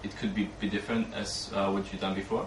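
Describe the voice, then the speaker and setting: A man's voice: sits low, level tone, fast. A young man from Slovakia, a student panelist, talking with restarts and fillers. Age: young adult. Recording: roomy, distant mic with background noise.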